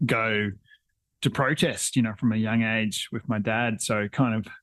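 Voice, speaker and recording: A man's voice: lowish voice, steady tone, a little slow, a bit bright, no echo. A young man from northern New South Wales, talking casually in an interview with many hesitations and restarts. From northern New South Wales, Australia. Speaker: environment council coordinator.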